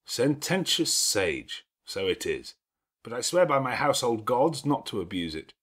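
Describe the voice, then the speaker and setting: A man voicing a brooding, domineering, teasing middle-aged gentleman in a classic novel, speaking in a grandiloquent, sardonic and emphatic way. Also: medium pitch, big pitch swings, a little slow, breathy edge, heavily acted. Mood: defiant, sardonic. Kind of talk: dramatized classic novel audiobook reading.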